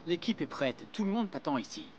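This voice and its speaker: A man's voice: fairly high pitch, sing-song, brisk. A pushy music agent who orders people around. His tone is impatient, and faint outdoor noise sits behind him. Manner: impatient, bossy. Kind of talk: dubbed telenovela acting.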